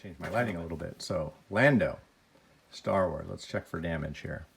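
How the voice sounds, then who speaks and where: A man with a cold, his voice deeper than usual, chatting casually as he unboxes toys and grades each one. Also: moderate pace, vocal fry, husky. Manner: plain, chatty, grading each item out loud. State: has a cold. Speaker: toy unboxing vlogger.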